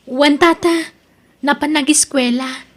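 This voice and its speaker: A woman's voice: sits high, fairly even intonation, takes it slowly, gravelly, heavily acted. A woman with an Ilocano accent playing a proud, determined young student in an audio drama. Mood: apologetic, subdued. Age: young adult.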